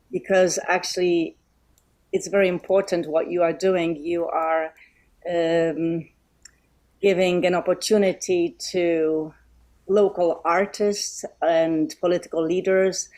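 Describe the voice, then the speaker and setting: A middle-aged woman from Poland giving a heartfelt thank-you speech over a video call, pausing often with um and uh. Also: on the low side, level tone. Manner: warm and grateful, many um and uh pauses. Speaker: event guest speaker.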